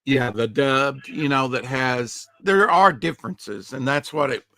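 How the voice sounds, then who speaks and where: A male podcast host with a slightly raspy voice, chatting casually in a rambling way, full of fillers and trailing off. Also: mid-range voice, measured pace, sharp tone, no echo. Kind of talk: casual chat.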